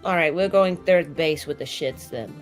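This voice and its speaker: A woman's voice: low-pitched, moderate pace, soft background music. A woman improvising in character at a tabletop game, talking casually with blunt, irreverent slang. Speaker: tabletop roleplaying player.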